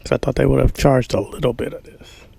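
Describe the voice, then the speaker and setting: A man's voice: mid-range voice, steady tone, quick pace, creaky, dark tone, slightly rough, dry recording. A man giving a casual hands-on product demo indoors, talking informally and folksily, as if half to himself while handling things. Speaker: vlogger showing an unboxing. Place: small carpeted room indoors.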